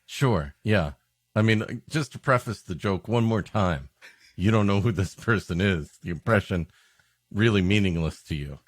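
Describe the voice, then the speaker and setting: A man's voice: on the low side, expressive, moderate pace, no echo, clean studio sound. A man co-hosting a podcast in casual comic chat, hesitant and self-deprecating. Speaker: podcast co-host.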